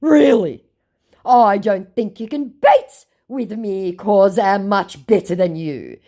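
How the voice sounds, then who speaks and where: Woman mockingly playing a dim, boastful male scammer, exaggeratedly halting. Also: mid-range voice, warm tone, pitched above the performer's own voice, close to an everyday voice. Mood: boastful, indignant. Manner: slow, exaggerated, halting delivery. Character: dim, boastful male online scammer, mocked, broken English.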